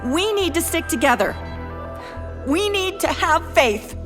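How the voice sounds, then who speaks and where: Hopeful young woman, extremely high voice, slow delivery, earnest and pleading, faint music, audio drama. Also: expressive.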